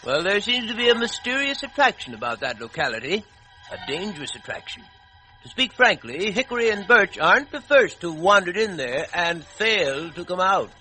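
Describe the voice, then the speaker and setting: A male actor playing a wise, kindly talking fish with a hoarse throat and quaint nautical speech, in an old children's radio drama. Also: sits high, very animated, moderate pace, bright, forceful tone, soft background music, exaggerated voice, rough recording. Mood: grave. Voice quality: hoarse, foghorn-like sore throat. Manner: avuncular, quaint nautical exclamations.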